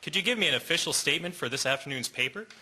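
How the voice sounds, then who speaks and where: A man playing a persistent, dutiful small-town newspaper reporter in a radio drama, brisk and earnest. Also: on the high side, lively intonation, pushed and bright, husky, full character voice. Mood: businesslike. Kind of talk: amateur radio drama acting.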